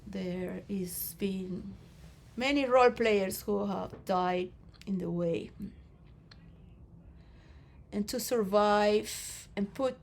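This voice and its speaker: A woman giving a rambling philosophical monologue to role players, shifting between soft musing and loud emotional outbursts. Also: mid-range voice, drawn-out words, gravelly, long pauses. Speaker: speaker addressing role players.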